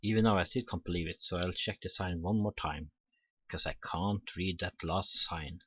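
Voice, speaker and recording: Low, husky-voiced man reading aloud. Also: normal speed, muffled recording. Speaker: let's player. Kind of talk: reading a visual novel aloud with casual asides. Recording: roomy sound with faint music behind.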